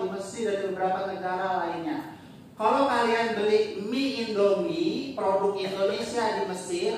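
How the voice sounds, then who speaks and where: Lecturer, chatty and joking, quizzes the audience, slips into Arabic phrases, distant mic in a booming room with faint noise. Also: very echoey. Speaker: lecturer presenting slides. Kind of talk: casual classroom talk with slides.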